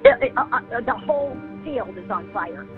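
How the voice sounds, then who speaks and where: Casting: urgent female emergency caller, high voice, thin phone-line audio. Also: lively intonation, normal speed, soft background music. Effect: telephone line. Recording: tinny phone line, archived emergency call.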